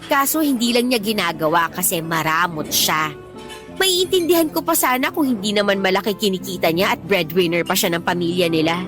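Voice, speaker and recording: An actress playing a blunt, protective young Filipino best friend in a radio drama, scolding emphatically in slangy speech. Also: female voice, medium pitch, fairly bright tone, natural voice. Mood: exasperated, protective.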